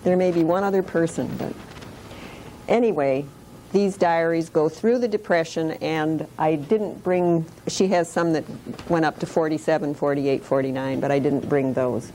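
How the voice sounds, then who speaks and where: A woman in her late sixties from Detroit, telling her life story in a classroom interview in a wry, unhurried way. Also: low-pitched, steady tone, dark tone, slight background noise, low-quality recording. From Detroit, Michigan. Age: 60s. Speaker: interviewee sharing memories of the Depression. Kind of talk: oral history interview. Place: school classroom.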